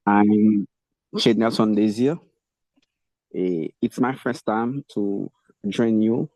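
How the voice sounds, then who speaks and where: Halting man, slow and echoey. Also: lowish voice, dark tone. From Haiti. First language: Haitian Creole. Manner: halting, searching for words. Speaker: language learner taking the microphone. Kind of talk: impromptu talk in English practice. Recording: muffled, roomy online call audio.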